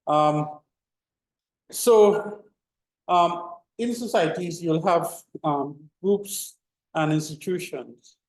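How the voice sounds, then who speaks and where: A man's voice: on the high side, very slow, roomy sound, poor audio. A middle-aged man giving an informal classroom lecture, full of fillers and restarts. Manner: rambling, many fillers and restarts. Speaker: university lecturer.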